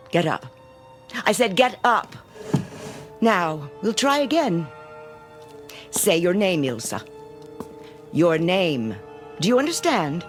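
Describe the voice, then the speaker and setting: An actress playing a stern, obsessive schoolteacher in a radio drama, commanding and deliberate, coldly insistent. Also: female voice, mid-range voice. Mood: sharply commanding. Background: dramatic music.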